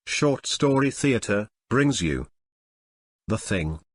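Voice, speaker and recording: A man narrating as announcer, introducing an audio play in a measured, theatrical old-time radio style. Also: lowish voice, sing-song, slightly rough, dry recording, studio quality. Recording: music behind the voice.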